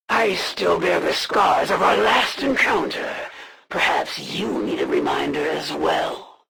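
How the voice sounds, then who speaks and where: A woman playing a proud, menacing alien assassin in a video game, speaking in a formal, solemn way with a gravelly rasp. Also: fairly low pitch, a bit bright, full character voice. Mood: vengeful. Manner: formal, solemn, haughty, deliberate delivery. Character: proud female alien assassin, honor-bound, menacing, serving the Elders. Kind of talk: theatrical video game villain lines.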